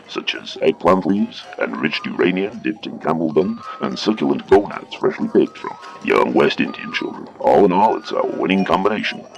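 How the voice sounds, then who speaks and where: A man's voice: a bass voice, creaky, full character voice, rough recording. A man playing a smug quack doctor giving sales patter in a parody of an old radio cigarette advert.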